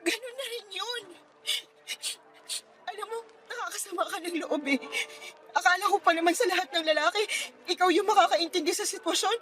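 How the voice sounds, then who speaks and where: A young pregnant woman in a Filipino radio drama, speaking in a very high voice. She is wounded and reproachful, pleading with a close friend in a Filipino accent. Soft music sits behind her. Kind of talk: radio drama acting in Tagalog.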